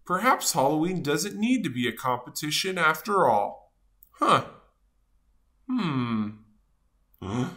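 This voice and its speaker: A theatrical, fretful seed fellow from a children's storybook, acted out by a woman. He swoops up and down in pitch and draws his words out slowly in a bright, forceful tone. He sounds self-important and grumpy, yet good at heart. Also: full character voice. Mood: rueful. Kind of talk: animated children's storybook read-aloud.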